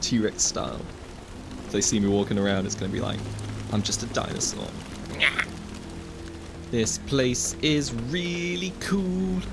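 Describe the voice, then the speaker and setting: A man's voice: mid-range voice, measured pace, creaky, long pauses, noisy background. A young man casually commenting while playing a VR game, chatty and joking, with wry asides to the viewer. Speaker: gaming video host. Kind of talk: casual let's-play commentary.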